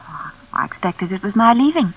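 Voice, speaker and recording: A woman's voice: mid-range voice, very animated, fairly quick, husky, rough recording. An actress playing a nervous, polite young woman working as a companion, earnest and proper, in classic radio suspense style. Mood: politely grateful. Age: young adult.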